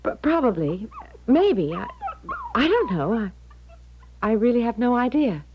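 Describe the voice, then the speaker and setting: An actress playing a torn, flustered young American woman in a 1970s radio drama, halting and stammering as she speaks. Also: a little high, sing-song, noisy background. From American Midwest. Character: hesitant, torn young engaged American woman seeking advice. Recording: dull 1970s radio broadcast recording.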